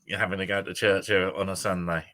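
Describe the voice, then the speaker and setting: A man's voice: deep voice, level tone, fast, bright, forceful tone. An older man, a guest on an interview show, speaking hesitantly with dry humour. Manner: hesitant, many ums and restarts, dry humour. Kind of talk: casual interview.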